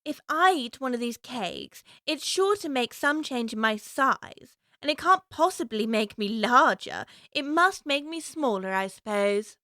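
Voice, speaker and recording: A curious little girl in an audio drama, high clear bright voice, lively, dry close mic. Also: a little slow, hardly any pauses, dry recording, exaggerated voice. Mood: musing. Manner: earnest, talking to herself.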